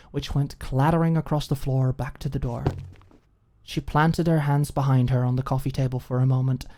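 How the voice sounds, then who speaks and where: A woman reading a scripted story as an audiobook narrator, in an expressive storytelling style. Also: low voice.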